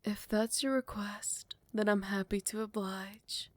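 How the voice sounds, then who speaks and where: A woman playing a possessive yet tender royal vampire in love, speaking in formal, old-fashioned phrasing with breathy half-whispers in an intimate ASMR roleplay. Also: mid-range voice, steady tone, a little slow, lightly acted. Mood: tender, seductive.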